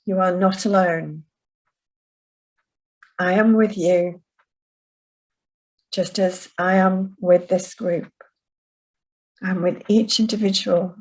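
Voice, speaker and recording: Woman relaxation instructor, unhurried and soft-spoken, guiding a calm session. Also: medium pitch, low-quality recording. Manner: soft, slow and soothing. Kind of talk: guided self-soothing session.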